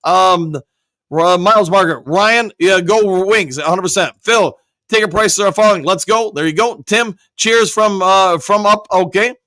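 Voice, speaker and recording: Guy with a worn-out, almost gone voice, pretty high pitched, reading chat upbeat, dry sound. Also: measured pace, sharp tone, no room sound. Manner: upbeat, reads chat comments aloud and answers them. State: voice nearly worn out. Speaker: host reading viewer comments. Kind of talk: casual live-stream chat.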